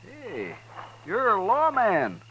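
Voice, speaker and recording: An American actor playing a talkative, hospitable buffalo hunter in a 1950s radio western, with folksy, drawling rustic speech. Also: male voice, high voice, wildly swooping pitch, slow pace, breathy edge, exaggerated voice. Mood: gruffly welcoming. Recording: dull, band-limited 1950s radio recording.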